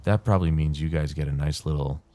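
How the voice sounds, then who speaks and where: A man casually rambling while playing a game, his throat dry and tired, with a calm, soothing way of talking. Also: very deep voice, fast. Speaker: gaming channel host. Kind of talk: casual rambling commentary over gameplay.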